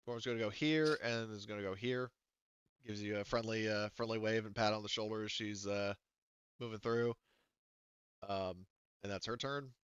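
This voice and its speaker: A man's voice: lowish voice, normal speed, pauses often, fairly bright tone, smooth, no echo, lo-fi sound. A man casually improvising narration as game master of a tabletop game, rambling and joking as he goes.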